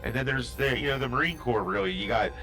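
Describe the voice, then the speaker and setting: A man narrating a tabletop role-playing game as its game master, voice at an average pitch for a man. He thinks aloud as he goes, with lots of fillers and restarts. Faint music plays quietly behind him. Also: fast.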